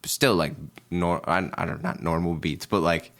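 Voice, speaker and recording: A man's voice: low-pitched, steady tone, normal speed, a bit bright. A young man, a musician guest in a casual interview, talking in a laid-back, rambling way full of fillers.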